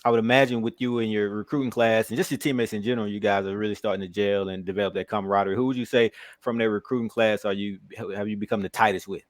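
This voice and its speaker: A man's voice: lowish voice, flat delivery, fast talker, hardly any pauses, no echo. A man interviewing a young football player, asking friendly questions and teasing him a little. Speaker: podcast interviewer. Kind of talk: casual sports interview.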